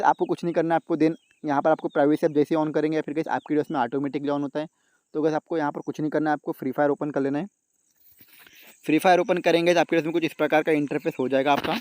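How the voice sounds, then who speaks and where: A man's voice: on the high side, warm tone, no room sound. A man hosts an informal step-by-step phone tutorial, repeating stock phrases and often addressing his viewers directly. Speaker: YouTube tech tutorial host.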